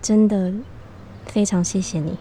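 An actress playing a gentle, sincere, reflective woman in a radio drama, speaking in a measured, deliberate way. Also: mid-range voice, steady tone, a little hiss or hum, lightly acted, low-quality recording. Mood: sincere, apologetic. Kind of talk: radio drama acting with narration.

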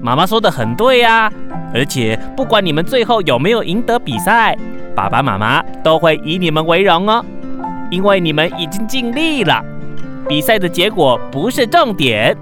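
A woman playing an encouraging dolphin father in a children's radio drama, expressive. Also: medium pitch, moderate pace, lightly acted. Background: background music.

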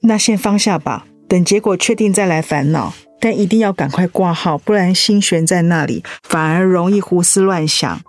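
An actress playing a warm, reassuring close friend in a radio drama, expressive and encouraging. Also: female voice, lowish voice, normal speed, few pauses, dry recording, faint music behind, natural voice. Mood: concerned, reassuring.

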